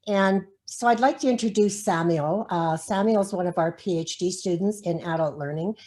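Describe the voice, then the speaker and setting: Low-voiced woman introducing a speaker. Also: normal speed, a little mellow. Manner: hesitant and friendly, with frequent fillers. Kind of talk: casual spoken introduction.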